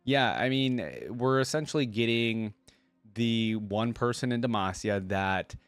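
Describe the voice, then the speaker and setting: A man's voice: medium pitch, fairly even intonation, unhurried, clear, resonant tone, no echo. A man hosting a reaction video, reading fantasy lore aloud and adding casual commentary.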